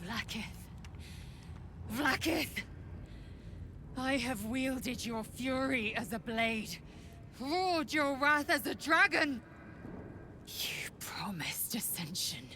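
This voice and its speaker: A fierce alien warrior zealot from a fantasy game, highish voice, slow and imperious, noisy background. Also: female voice, lively intonation, sharp tone, full character voice. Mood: anguished fury. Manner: clipped, imperious, intense. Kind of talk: cinematic fantasy game dialogue. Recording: clear background ambient noise.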